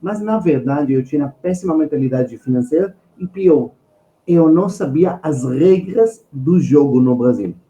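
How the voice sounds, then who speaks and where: Male podcast guest with an Israeli accent: mellow tone, emphatic conversational delivery, recorded in a roomy space. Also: fairly high pitch, lively intonation, normal speed. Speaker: guest finance expert. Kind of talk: conversational podcast storytelling and advice.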